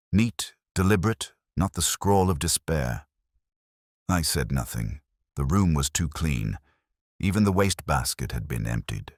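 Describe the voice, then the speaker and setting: A man playing a brooding, cerebral older detective inspector, narrating a mystery in a measured, grave, literary manner for a radio drama. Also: extremely low pitch, no echo, studio quality, exaggerated voice.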